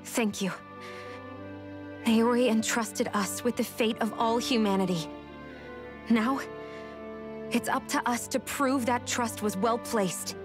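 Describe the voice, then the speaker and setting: A woman's voice: fairly high pitch, takes it slowly, long pauses, over music. A woman playing a guarded, vulnerable young cursed maiden in a fantasy video game cutscene. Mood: grateful, resolved.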